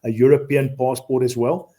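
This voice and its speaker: A man's voice: mid-range voice, level tone, normal speed, warm tone. A South African man in his fifties, a safari outfitter talking in a casual podcast conversation, earnest and prone to repeating words as he explains. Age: 50s.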